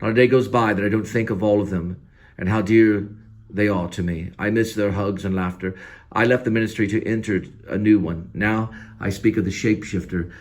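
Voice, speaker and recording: Man performing as grieving werewolf hunter, former minister, lower-pitched, solemn, obsessed, wordy, dramatic monologue. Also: fairly even intonation, fairly quick, close to an everyday voice. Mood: mournful, earnest.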